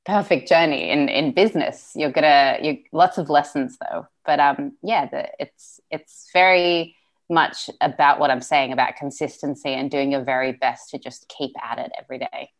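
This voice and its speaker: A woman's voice: sits low, normal speed. A young adult woman, a business founder, talking earnestly as an interview guest, laughing often and swearing now and then. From Australia. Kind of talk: casual interview chat.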